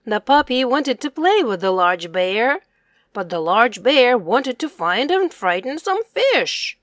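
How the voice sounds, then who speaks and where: A woman reading a children's story aloud in a slow, deliberate, lively voice. Her pitch sits slightly high and swings widely. The recording is close and dry. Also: dry recording.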